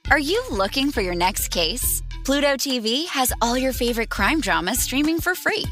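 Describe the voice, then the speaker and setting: A woman's voice: high voice, lively intonation, normal speed, fairly bright tone. A woman announcer giving a scripted, upbeat commercial read. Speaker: advertisement announcer. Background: music.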